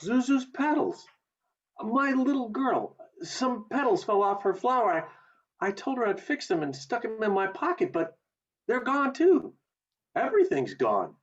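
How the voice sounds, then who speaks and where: A woman's voice: a little high, sing-song, unhurried. A woman acting a bewildered, agitated everyman in a radio play, stammering and urgent, over a video call. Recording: dull, lo-fi video call audio.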